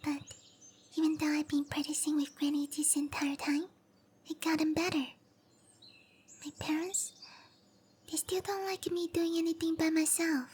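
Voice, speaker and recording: A woman playing a shy, sweet, petite young cowgirl monster girl in an anime-style ASMR roleplay, bashful and hesitant, with a soft, breathy girlish voice. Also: high voice, flat delivery, natural voice. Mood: wistful, frustrated. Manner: bashful, hesitant, slow and gentle.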